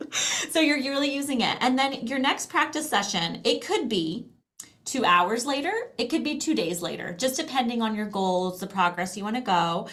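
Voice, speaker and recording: A woman's voice: fairly high pitch, lively intonation, normal speed, fairly bright tone. A middle-aged American woman explaining a language program in a casual, chatty and encouraging way. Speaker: language program presenter and guest. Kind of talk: casual explanatory product demonstration.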